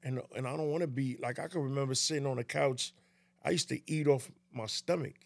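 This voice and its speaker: Gravelly man in his fifties, chatting. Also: medium pitch, fairly quick, no echo. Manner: emphatic, repeats himself, often asks "you know what I mean". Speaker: podcast guest, DJ. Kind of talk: casual interview chat. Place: small indoor podcast studio. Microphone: studio mic on boom arm.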